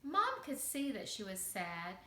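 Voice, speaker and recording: A woman telling a children's story in simple, expressive phrases for young listeners. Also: medium pitch, unhurried. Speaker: preschool teacher telling a bedtime story. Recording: echoey room.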